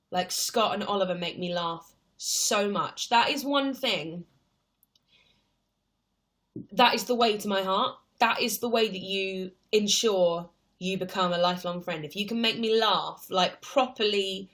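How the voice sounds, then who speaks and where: A woman's voice: mid-range voice, a bit bright. A woman in her twenties, chatting casually on a livestream, reading viewer questions aloud and answering at rambling length. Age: 20s. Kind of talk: casual live chat.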